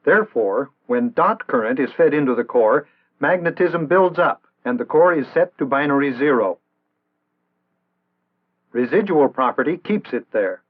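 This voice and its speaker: An American man reading scripted instructional narration in a measured, formal, didactic way, in the style of an early 1960s training film. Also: medium pitch, lively intonation. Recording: tinny band-limited vintage 1960s film soundtrack.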